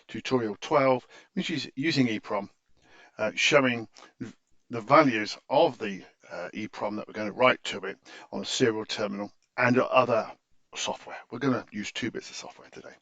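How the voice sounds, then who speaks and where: A man's voice: medium pitch, expressive, moderate pace, creaky, a bit bright, no echo. A man narrating an informal technical tutorial in a hesitant, stop-start way, often correcting himself, in a slightly muffled close-miked recording. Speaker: tutorial presenter.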